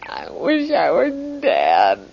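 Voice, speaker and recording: An actress playing an emotional, coaxing young wife in a religious audio drama, melodramatic and easily hurt. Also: female voice, a bass voice, huge pitch swings, takes it slowly, creaky, full character voice. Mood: hurt, accusing. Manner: pleading, breathless exclamations, melodramatic. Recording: dull, noisy old recording.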